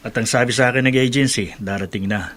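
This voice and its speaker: An actor playing a gentle, responsible father who speaks tenderly and instructively in a radio soap drama. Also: mid-range voice, quick pace, natural voice. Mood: tender, earnest. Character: gentle, responsible father counselling his children.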